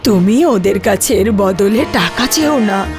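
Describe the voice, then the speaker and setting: A woman voicing a kindly old village woman in a cartoon fairy tale, gentle and motherly as she guides. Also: medium pitch, expressive, measured pace, faint music behind. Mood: instructive.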